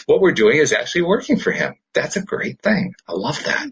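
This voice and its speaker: A man hosting a casual podcast conversation in an upbeat, enthusiastic manner, with a husky, rough-edged voice. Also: fairly high pitch, very animated, a little fast, fairly bright tone. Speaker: podcast host.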